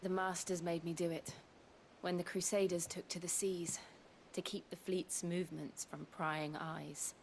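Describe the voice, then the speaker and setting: An actress playing a quietly determined young woman warrior who speaks softly and earnestly in a video game cutscene. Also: on the low side, little pitch movement, measured pace, a little hiss or hum.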